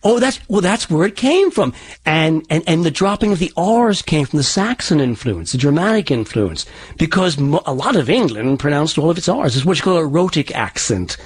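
A man with a husky voice, explaining things haltingly in an interview as a dialect coach. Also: fairly high pitch, big pitch swings. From partly Irish background.

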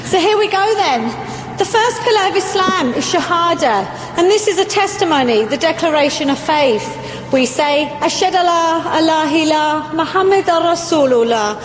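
A woman's voice: extremely high pitch, animated, moderate pace. A woman guiding a tour group inside a mosque, welcoming and explanatory. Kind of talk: guided tour talk. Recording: echoey large hall.

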